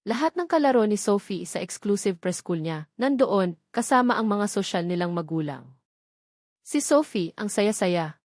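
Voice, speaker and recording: A woman's voice: mid-range voice, expressive, moderate pace, a little mellow, no room sound, clean studio sound. A woman with a Filipino accent reading a scripted first-person romance story as its storyteller.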